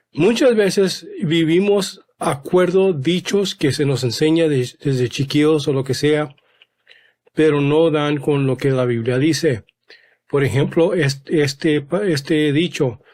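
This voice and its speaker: Slow, halting man preaching Bible talk. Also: a little high, darkish tone. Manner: slow, searching, many fillers and restarts; asks rhetorical questions to the listeners. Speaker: podcast host and Bible teacher. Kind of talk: casual sermon-style Bible teaching.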